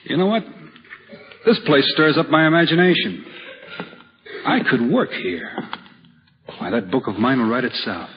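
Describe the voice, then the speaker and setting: A man's voice: mid-range voice, animated, moderate pace, long pauses, slight background noise, close to an everyday voice. Earnest dreamy man acted, dull radio recording. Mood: dreamy, eager. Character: restless dreamy husband-type man, a would-be writer. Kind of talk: old-time radio drama acting and narration.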